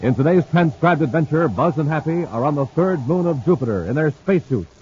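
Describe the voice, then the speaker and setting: Booming 1950s radio announcer. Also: male voice, lively intonation, a little fast. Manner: booming, showman-like, exclamatory. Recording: muffled old radio transcription with faint hiss.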